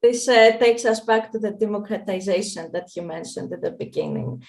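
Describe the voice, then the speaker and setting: A woman's voice: medium pitch, expressive, normal speed, vocal fry, a little mellow, echoey room. A woman hosting a casual interview over a video call, from Greece, answering with short agreeable remarks. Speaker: interview host.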